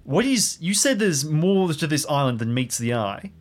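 A man's voice: fairly high pitch, sing-song, normal speed. A man playing a rattled, insistent new park ranger in an improvised audio drama, questioning and repeating himself. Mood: agitated, exasperated.

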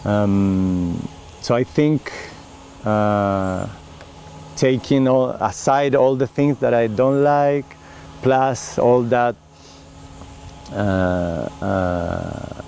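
A middle-aged Chilean winemaker with a Chilean Spanish accent, a native Spanish speaker, speaking earnestly in an oral history interview with many fillers and restarts. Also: male voice, on the low side, drawn-out words, vocal fry, darkish tone, slight background noise.

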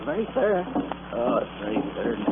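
A man's voice: mid-range voice, sing-song, brisk, heavy background noise, lightly acted. Guy acting unhinged desperate man in old radio thriller, voice swings around, phone-like muffled sound. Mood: desperate, agitated.

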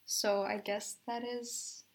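A woman's voice: mid-range voice, little pitch movement, slow pace. A young woman from Indonesia, a university student guest, talking casually with many fillers like "um" and "like". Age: young adult. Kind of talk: casual chat.

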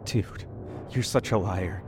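A man's voice: deep voice, slow pace, over music. A man playing a cynical, needling spaceship crewman in an audio drama, crude and slangy. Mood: needling, accusing. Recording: noisy ambient background.

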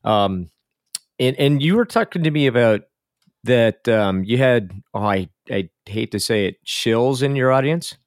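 A man's voice: mid-range voice, animated, unhurried, dry recording, clean studio sound. A man hosting a podcast interview, easygoing and joking as he steers the conversation. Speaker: podcast host.

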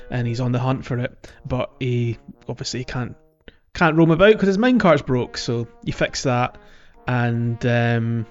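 A male podcast co-host talks in a casual, halting way about a video game he is playing through. His voice sits at an average pitch. The audio is slightly dull and lo-fi, with music underneath. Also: darkish tone. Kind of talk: casual chat recapping a video game playthrough.

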